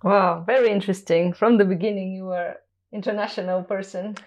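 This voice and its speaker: A woman's voice: mid-range voice, fairly even intonation, a little fast, a little mellow. A woman hosting a podcast interview, asking short, curious questions. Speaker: podcast host. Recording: dull lo-fi sound.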